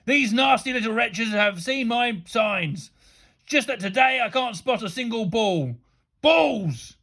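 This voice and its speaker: A woman's voice: medium pitch, lively intonation, moderate pace, gravelly, husky, pitched above the performer's own voice. A woman voicing a manic, thundering male schoolteacher in a storybook, spluttering and repeating in exasperation. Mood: furious. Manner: bellowing, repetitive, spluttering.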